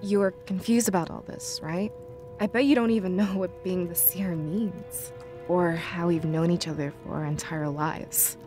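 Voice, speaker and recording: A woman voicing a level-headed, caring young fox-like adventurer in a video game, earnest and measured. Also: lowish voice, steady tone, dark tone, faint music behind, natural voice. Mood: concerned, probing.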